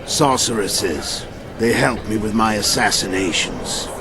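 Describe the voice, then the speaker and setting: An actor playing a grim captive assassin, terse and subdued, in a fantasy game cutscene. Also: male voice, fairly low pitch, measured pace, very noisy, lightly acted. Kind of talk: dramatic video game cutscene acting.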